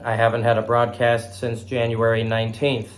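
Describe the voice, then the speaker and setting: A man in his thirties from Southern California, hosting a faith broadcast while recovering from illness. He talks slowly and haltingly, with fillers and a slight cough. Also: mid-range voice, completely flat delivery. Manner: slow, halting, with frequent fillers and throat clearing. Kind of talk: casual spoken tribute.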